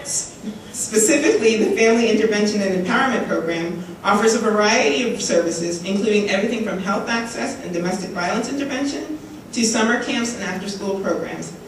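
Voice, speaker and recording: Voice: medium pitch, lively intonation, fairly quick. A conference host formally reads out a prepared introduction of the next speaker. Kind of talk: read introduction. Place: large conference hall. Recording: very echoey hall, distant mic, faint hiss.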